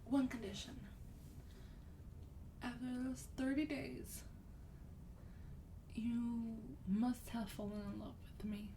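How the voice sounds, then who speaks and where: A woman playing a sweet, wealthy vampire in a soft-spoken ASMR roleplay, breathy and often near a whisper, gently coaxing with a slightly haughty air. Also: on the high side, flat delivery, slow, long pauses, recorded from a distance, slight background noise, low-quality recording.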